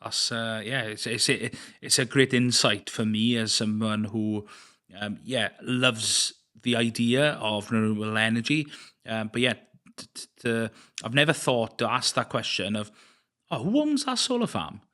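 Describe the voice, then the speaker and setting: Hesitant podcast host. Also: male voice, mid-range voice, lively intonation, a little slow, sharp tone. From Wales. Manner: hesitant, many restarts and fillers, enthusiastic. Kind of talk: casual interview chat.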